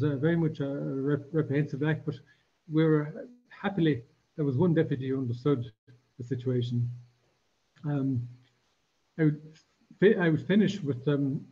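An older man giving a formal lecture in a curtained embassy room, talking in a measured way with frequent restarts and fillers. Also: a little high, husky, lo-fi sound. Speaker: guest lecturer.

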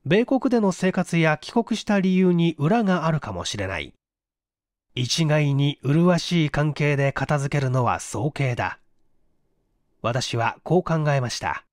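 A man narrating a mystery story as an audiobook reader, in an expressive, measured storytelling manner on a close microphone. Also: fairly high pitch, no room sound. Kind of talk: scripted literary narration, mystery story reading.

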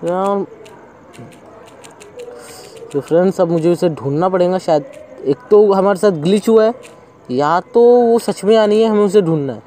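A man's voice: sits high, moderate pace, long pauses, dark tone, a little hiss or hum. Chatty young man narrating gameplay. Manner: chatty, thinks aloud while playing. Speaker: gaming channel host. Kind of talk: casual let's-play commentary.

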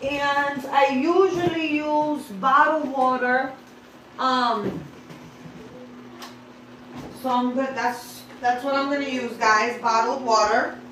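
A high-voiced woman talks viewers through her cooking in an informal, chatty way. She takes her time, speaking off the cuff in a home kitchen. The phone recording is echoey, with clear background noise. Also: steady tone, takes it slowly, pauses often, fairly bright tone. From the Bronx, New York, USA. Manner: informal, addressing viewers as guys, unscripted. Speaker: home cook vlogger. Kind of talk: casual cooking demonstration, chatty.

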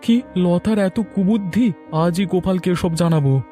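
A man plays a gullible, touchy village brother in a cartoon. His voice sits high for a man, with a mellow, dark tone and a plaintive, indignant delivery. Light music runs behind it. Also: normal speed, natural voice. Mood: troubled, puzzled. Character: gullible, aggrieved married village brother, easily riled. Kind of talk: cartoon voice acting.

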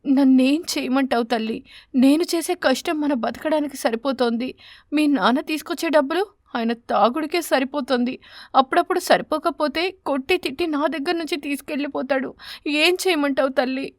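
A woman voicing a poor, patient mother sparrow in an animated story, soothing and plaintive. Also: high voice, moderate pace, no echo, studio quality, close to an everyday voice. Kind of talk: animated bedtime story character.

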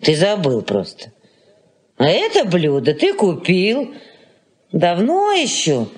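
An actress playing a fussy, wistful elderly aunt in a Soviet radio play, halting and solicitous. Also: female voice, on the low side, huge pitch swings, full character voice. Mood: insistent, fussy.

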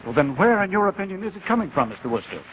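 Earnest TV announcer, formal, hesitant, slightly high voice, 1950s broadcast, faint noise. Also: male voice, big pitch swings, racing, muffled recording. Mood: puzzled, probing. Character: polite, earnest television announcer puzzled by mystery. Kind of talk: 1950s live TV presenter acting.

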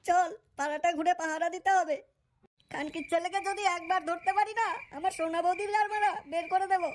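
A woman's voice: very high-pitched, fairly even intonation, a little fast, slight background noise. A woman voicing a brash, boastful, foul-mouthed male amateur detective in a comic cartoon. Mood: brash, indignant. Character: cocky, foul-mouthed adult male self-styled detective.

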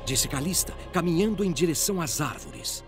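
A man's voice: fairly high pitch, sing-song, normal speed. A man with a Brazilian accent giving dramatic scripted narration of a fantasy audio drama. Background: music.

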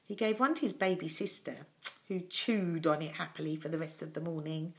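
An older woman reading a children's story expressively and dramatically, with deliberate pauses, in a small room at home. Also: deep voice.

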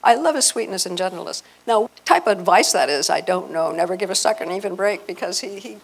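Older woman with a creaky voice. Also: mid-range voice, lively intonation, quick pace, rough recording. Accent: American. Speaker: event speaker. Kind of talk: informal talk to an audience. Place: hotel meeting room. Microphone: handheld microphone.

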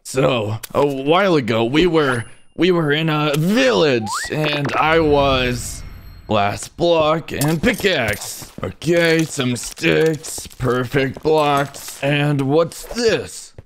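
A man's voice: fairly high pitch, very slow, a little hiss or hum. A man voicing an eager, bossy young stick-figure chef in a cartoon fan dub, talking in short casual instructions. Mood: recalling.

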